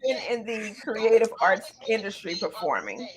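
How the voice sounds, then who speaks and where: A woman hosting a casual, church-flavored interview in a playful, expressive, affirming way. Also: mid-range voice, moderate pace, husky, low-quality recording. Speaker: talk show host.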